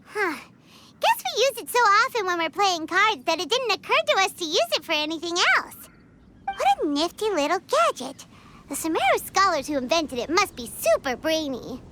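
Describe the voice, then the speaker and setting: Super high squeaky fairy kid for a game, excitable, really animated. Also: female voice, moderate pace, slight background noise, exaggerated voice. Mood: chatty, confident. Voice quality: squeaky, childlike. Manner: speaks of self in third person, excitable. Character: small floating fairy girl companion, childlike and chirpy. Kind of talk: video game character acting.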